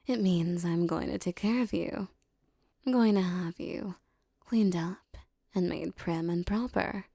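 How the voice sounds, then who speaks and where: A woman playing a regal, possessive empress who coaxes and teases a captive servant in soft, condescending tones, often near a whisper, in an intimate roleplay audio. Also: lowish voice, unhurried, vocal fry, dry recording, clean studio sound. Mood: possessive, indulgent.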